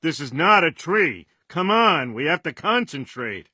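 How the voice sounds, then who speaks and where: A brisk male superhero from a video game, urging on a teammate. The voice is high for a man, very sharp and forceful, with lively, exasperated inflection. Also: no echo, exaggerated voice. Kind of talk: scripted video game voice acting.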